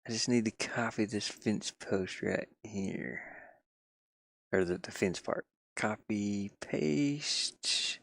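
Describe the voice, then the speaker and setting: Male game streamer, low gravelly voice, loose rambling chat, close and dry. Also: slow, a bit bright, no room sound. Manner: rambling, mutters to himself while working. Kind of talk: casual live-stream chat.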